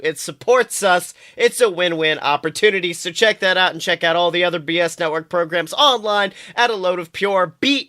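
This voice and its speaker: A man co-hosting a podcast in casual comedic chat, swearing freely with animated exclamations. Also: sits high. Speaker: podcast co-host.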